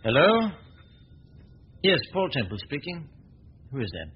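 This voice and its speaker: An actor playing a suave, genial gentleman detective who talks in a relaxed, urbane way, in 1940s radio acting. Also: fairly high pitch, unhurried, breathy edge, exaggerated voice. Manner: relaxed, urbane, easy chuckles. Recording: muffled hissy 1940 radio recording.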